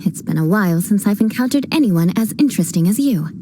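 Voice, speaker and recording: A woman's voice: medium pitch, animated, fast talker, background noise, natural voice. An actress playing a proud young noblewoman knight captain who talks with dry haughtiness and vows vengeance over every little slight. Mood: wry. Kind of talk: video game voice acting. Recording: game dialogue with background music.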